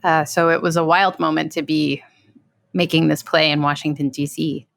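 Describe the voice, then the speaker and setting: A woman's voice: low voice, flat delivery, moderate pace, vocal fry, a bit bright, dry recording, clean studio sound. A woman dramaturg recollecting events in an interview.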